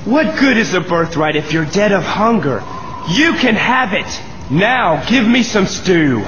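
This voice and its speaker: A man voicing an impulsive hunter son in a Bible cartoon, drawn-out and melodramatic. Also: sits high, lively intonation. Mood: weary, hungry. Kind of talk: Bible cartoon story acting. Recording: dull audio with noisy background.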